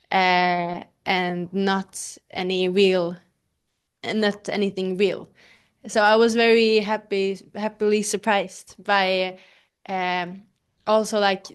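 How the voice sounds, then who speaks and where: Young woman, from Sweden, slow, smooth voice, hesitant, interview guest. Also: mid-range voice. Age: young adult. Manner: hesitant, lots of fillers, laughs easily. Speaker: actress guest. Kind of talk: casual interview answers.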